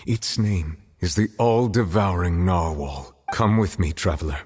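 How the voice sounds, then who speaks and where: An actor playing a solemn, commanding judge with ancient dragon power in a video game, speaking in formal, stately pronouncements. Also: male voice, low voice, a little slow, darkish tone, slightly rough. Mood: solemn, resolute. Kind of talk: scripted video game voice acting.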